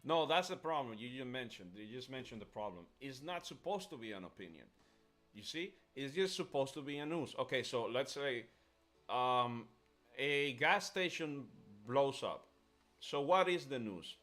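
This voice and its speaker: A man's voice: mid-range voice, lively intonation, moderate pace, sharp tone. A man chatting casually and giving strong opinions as host of a live stream, emphatic and often repeating himself. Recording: music under the voice. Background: music.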